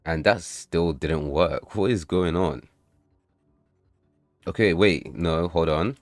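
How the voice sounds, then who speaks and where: Very deep-voiced casual male streamer. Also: slow pace, no echo, soft background music. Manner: loose and rambling, swears, bursts into excited outbursts, addresses chat. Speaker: livestreamer and game developer. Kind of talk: casual livestream chat while coding and playtesting.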